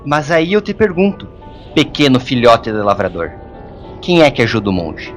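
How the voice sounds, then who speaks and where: In this audio drama, a man plays a hairless hermit monk who is gruff and endlessly sarcastic. He grumbles and mocks in colloquial speech, at a medium pitch. Faint music sits behind the voice. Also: very animated, normal speed, natural voice. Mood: sarcastic, grumbling.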